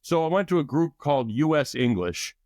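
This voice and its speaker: Middle-aged male guest, measured explainer, dry close recording. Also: a little high, sing-song, a little mellow, no room sound. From United States. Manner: thoughtful, explanatory with restarts. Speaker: guest expert. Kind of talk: podcast interview.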